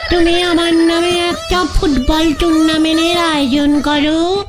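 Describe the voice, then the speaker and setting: A woman's voice: very high voice, level tone, unhurried. A woman voicing a distrustful, theatrical, demanding wife in a comedy cartoon, with an accusing, dramatic delivery. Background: music.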